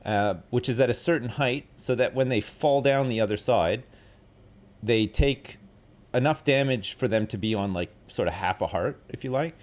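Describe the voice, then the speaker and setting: A man's voice: medium pitch, moderate pace. A man in his forties hosting a casual gaming stream, explaining things in a rambling way with lots of fillers and some self-deprecation. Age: 40s. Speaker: streamer host.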